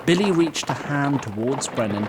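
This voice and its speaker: A man narrating a scripted fantasy story in a deliberate, literary, wry manner. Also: medium pitch, lively intonation. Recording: clear background noise and ambience.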